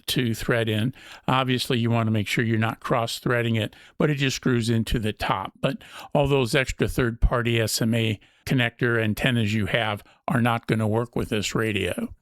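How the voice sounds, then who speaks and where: A man's voice: mid-range voice, a bit bright, no room sound. Man giving a dry, close-sounding gadget walkthrough. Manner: methodical, explanatory. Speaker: gadget review host. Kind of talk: unscripted product walkthrough.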